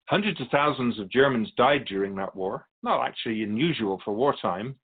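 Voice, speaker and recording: A man playing a calm otherworldly spirit who speaks in a measured, formal, instructive way in a radio drama. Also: medium pitch, phone-quality sound, close to an everyday voice. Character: calm otherworldly male soul keeper, earnest storytelling spirit. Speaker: interviewee.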